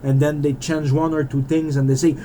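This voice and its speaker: A man's voice: on the high side, little pitch movement, a little fast, mellow, a little hiss or hum. A man with a French Canadian accent, a French native speaker, rambling through a casual game developer vlog and restarting his sentences often. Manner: rambling and self-interrupting, with frequent restarts.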